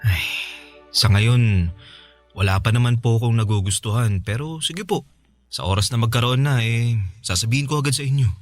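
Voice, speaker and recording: A man playing a gentle, devoted adult son in a radio drama, respectful, coaxing and affectionate. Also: medium pitch, normal speed, soft background music, natural voice. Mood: sincere, reassuring. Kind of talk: Tagalog radio drama acting.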